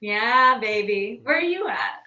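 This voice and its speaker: Guest, young woman, bubbly slangy talker; fairly high, bright voice. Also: animated, a little slow. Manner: bubbly, laughing, valley-girl slang. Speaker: guest singer. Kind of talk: casual interview chat. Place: room in downtown Los Angeles, remote call. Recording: echoey room.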